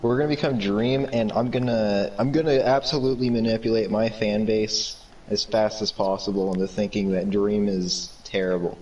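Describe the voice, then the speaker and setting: A man's voice: on the low side, steady tone, moderate pace, few pauses, darkish tone, distant mic, dull audio, a little hiss or hum. A man co-hosting a podcast in goofy, ironic casual chat, laughing often. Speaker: podcast co-host.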